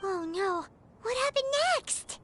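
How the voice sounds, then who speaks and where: A woman's voice: very high voice, lively intonation, slow pace, fairly bright tone, slight background noise, exaggerated voice. A woman playing a small, earnest grandchild in a video game, anxious and worried. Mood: alarmed. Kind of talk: dramatic game voice acting.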